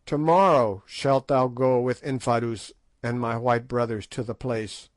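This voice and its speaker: Stern king, read by a man: grave, deliberate, authoritative. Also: medium pitch, fairly even intonation, no room sound, lightly acted. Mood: commanding. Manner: solemn, commanding. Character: stern young African king, commanding. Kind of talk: audiobook character voice.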